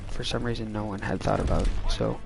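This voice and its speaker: A man's voice: fairly low pitch, steady tone, fairly quick, creaky. A young man telling a casual, rambling storytime into a microphone, full of fillers and restarts. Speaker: storytime vlogger. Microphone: desk microphone. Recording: loud game music underneath.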